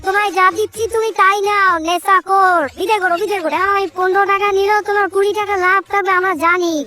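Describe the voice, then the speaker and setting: An actor voicing a bossy, stingy, complaining uncle from Kolkata in a comedy cartoon, through a pitched-up voice filter. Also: level tone, quick pace, sharp tone, slight background noise. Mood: haggling. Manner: complaining, scolding, haggling. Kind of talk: comedic cartoon dubbing, exaggerated dialogue.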